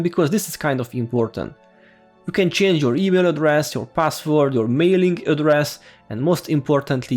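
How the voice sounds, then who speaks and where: A man's voice: on the high side, darkish tone. A man with a strong Hungarian accent, giving a friendly, informal game tutorial as a voice-over. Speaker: gaming YouTuber.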